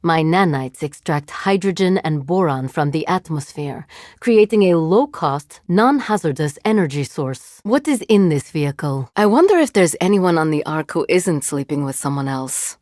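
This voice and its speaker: A female cyborg agent powered by nanites, cool and curious, in a video game. She talks in precise, literal, oddly formal sentences, and her low voice still rises and falls widely. The read is dry and close. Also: expressive, normal speed, a little mellow, dry recording. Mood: detached. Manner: formal, literal, emotionally detached phrasing. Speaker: voice actor for a game character.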